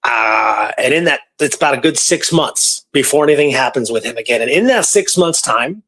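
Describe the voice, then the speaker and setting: A man co-hosting a radio show, telling a historical story informally, with halting delivery and phrases repeated for emphasis. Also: mid-range voice, expressive, sharp tone, slightly rough.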